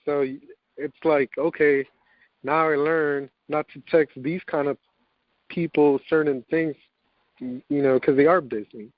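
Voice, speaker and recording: A man's voice: fairly high pitch, steady tone, a little slow, dry recording. A man on a conference call, chatting casually and motivationally in an informal, anecdotal way, often posing questions to himself. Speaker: participant in a self-improvement conference call. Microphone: conference call line. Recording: dull, lo-fi conference call audio.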